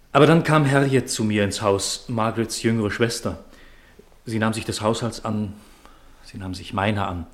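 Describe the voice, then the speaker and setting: An actor playing a troubled, guilt-burdened widower in a 1950s radio drama, speaking in a grave, formal, confessional way. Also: male voice, on the low side, close to an everyday voice. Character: troubled, guilt-burdened widower confiding his forbidden love.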